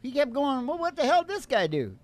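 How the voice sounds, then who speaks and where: A man's voice: very high-pitched, very animated, a little fast, no echo. An older man hosting a radio show, telling stories comically in a rambling, folksy, irreverent way. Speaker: radio show host and singing guitarist. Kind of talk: comic storytelling and banter.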